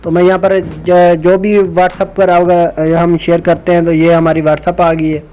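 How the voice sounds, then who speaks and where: A man's voice: high voice, little pitch movement, a little slow, darkish tone, rough recording. A man hosting an informal step-by-step phone app tutorial. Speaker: tech tutorial host. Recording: music playing behind the voice. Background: music.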